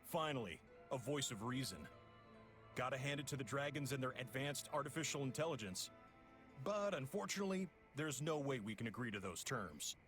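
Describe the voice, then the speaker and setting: An actor playing a cool, scheming villain leader in a video game, composed, smug and precise. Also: male voice, medium pitch, animated, fairly quick, a bit bright, faint music behind, close to an everyday voice. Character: cool, scheming male villain leader explaining his plan.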